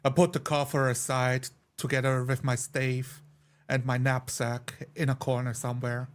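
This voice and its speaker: Even-toned man playing a tabletop game. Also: medium pitch, normal speed. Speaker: tabletop roleplay player. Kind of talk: describing his character's actions in play.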